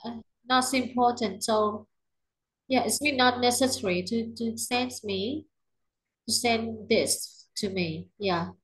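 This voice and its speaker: Slow, hesitant young woman on a call. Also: on the high side, low-quality recording. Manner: hesitant, soft, laughs often. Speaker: student. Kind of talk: casual class chat. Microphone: laptop mic on video call.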